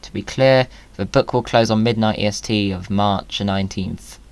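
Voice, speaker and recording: A man's voice: on the low side, normal speed, smooth, no echo. A British man hosting a gaming video, chatting to viewers in a playful, whimsical way with exclamations.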